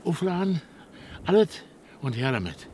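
An actor playing a gruff, grumbling village man in a radio drama, blunt and colloquial as he takes charge. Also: very animated, moderate pace, a little mellow. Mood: practical. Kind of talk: German radio drama acting. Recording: noisy windy outdoor ambience, rumble.

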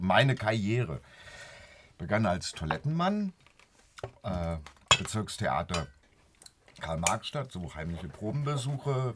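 A man's voice: sits low, background noise. An actor playing a self-important but good-natured former theatre man in a radio play, declaiming wryly about himself. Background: cutlery and dishes.

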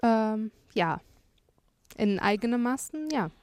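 A woman from Syria, a TV journalist speaking as a radio guest, thoughtful and pausing often to find words. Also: a little high, lively intonation, darkish tone. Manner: thoughtful, searches for words with frequent hesitations. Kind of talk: radio interview.

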